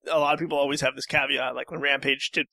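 A man talking casually as a podcast guest, in a halting, rambling way. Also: medium pitch, little pitch movement, sharp tone, no echo. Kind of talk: casual discussion.

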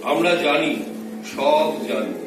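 A man with a high voice declaims poetry theatrically and without hurry. He sounds very echoey and set back from the microphone, with music behind. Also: level tone, slow, far from the mic. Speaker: recitation performer. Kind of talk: dramatic recitation of Bengali poetry.

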